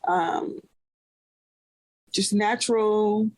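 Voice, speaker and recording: A woman's voice: medium pitch, fairly even intonation, drawn-out words, a little mellow, no room sound, low-quality recording. Bay area lady chatting, rambly, lots of you-knows, dry close sound. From San Francisco Bay Area, USA. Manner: rambling, many fillers like "you know". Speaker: guest, cloth diaper brand owner. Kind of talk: casual interview chat.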